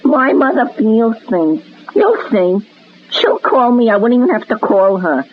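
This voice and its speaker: A man's voice: extremely high pitch, big pitch swings, a little slow, full character voice. An actor playing an awkward, anxious, overly polite young man in an old-time radio soap, hesitant and formal. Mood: anxious, earnest. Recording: lo-fi old radio recording with hiss.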